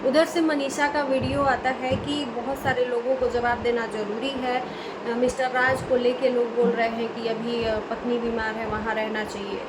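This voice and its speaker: High-pitched woman with noise behind her. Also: moderate pace. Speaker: reaction video host. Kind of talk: casual commentary on online personalities. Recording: clear steady room hum and noise.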